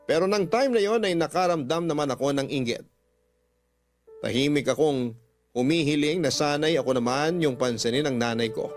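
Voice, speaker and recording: A man's voice: on the high side, moderate pace, lightly acted. A man with a Filipino accent reading a wistful first-person confession as a radio drama narrator. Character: narrator reading a hurt letter sender's confession. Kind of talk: scripted first-person storytelling, reading a letter. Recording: prominent music bed behind the voice.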